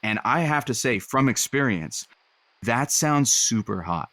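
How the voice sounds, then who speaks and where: An actor playing a glib, wry radio love-advice host in a scripted audio comedy. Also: male voice, medium pitch, lively intonation, unhurried, a bit bright, dry recording.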